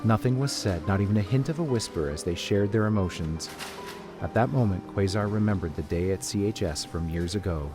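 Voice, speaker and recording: Narrator: male, low voice, scripted fiction reading, faint music bed. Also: a little fast.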